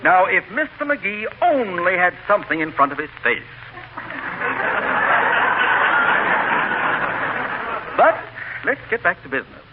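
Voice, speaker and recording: Pompous mayor, tinny radio. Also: male voice, sits high, animated, fairly quick. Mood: courteous. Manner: pompous, formal, wordy. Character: pompous, easily flustered small-town mayor. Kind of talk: 1940s radio comedy acting. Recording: tinny, hissy 1940s radio recording.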